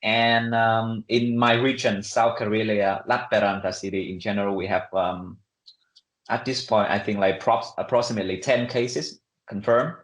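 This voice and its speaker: A young man speaks in a fairly low voice with a Vietnamese accent, recorded in an echoey room. He answers in a casual, conversational way, pausing and hedging as he searches for words. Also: level tone. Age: young adult. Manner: halting, conversational, many fillers and hedges. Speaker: interview guest, international student.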